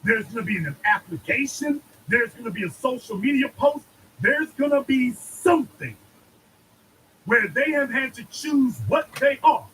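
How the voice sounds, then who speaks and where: A man's voice: very high-pitched, animated, measured pace, a bit bright, a little hiss or hum, rough recording. A man giving an emphatic political monologue, preaching and repeating lines for effect. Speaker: commentator.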